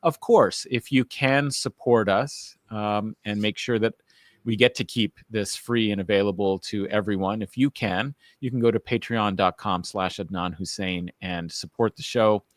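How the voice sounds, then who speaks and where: A man's voice: lowish voice, normal speed, no room sound. Dry-sounding enthusiastic male host. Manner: enthusiastic, rambling, with fillers. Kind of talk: live-stream talk show hosting.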